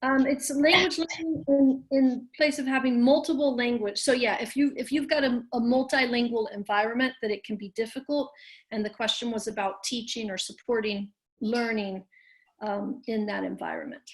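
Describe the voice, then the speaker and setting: A woman moderates a webinar over a video call, politely passing on audience questions and rephrasing them as she goes. Her voice sits a little high. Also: steady tone, moderate pace, low-quality recording.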